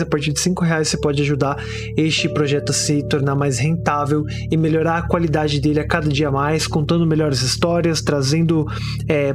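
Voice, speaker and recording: Brazilian guy doing horror podcast host voice, kinda high pitched, music underneath. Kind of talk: horror story narration and casual host chat.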